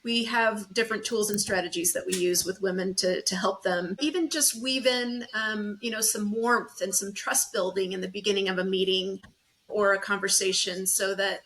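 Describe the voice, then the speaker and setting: A woman's voice: mid-range voice, fairly even intonation, normal speed, a bit bright, poor audio. A woman speaking as a leadership coach in a conversational interview, explaining things as a guest.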